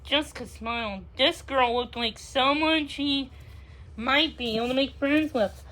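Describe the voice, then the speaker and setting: Female read-aloud narrator for a kids' book, high voice, unhurried and halting, with repeated words. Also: a bit bright. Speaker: storybook reader.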